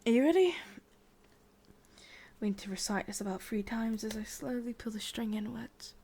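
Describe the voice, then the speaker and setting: A woman with an English accent playing a sadistic, possessive but secretly tender witch in a breathy, whispered ASMR roleplay. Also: a little high, mellow, slight background noise, natural voice. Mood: teasing, commanding. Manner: slow, halting, teasing, swears under her breath.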